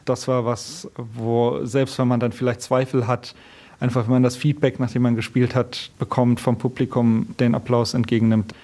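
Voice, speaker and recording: Flat-toned male quartet musician. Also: medium pitch, moderate pace. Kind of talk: interview answers.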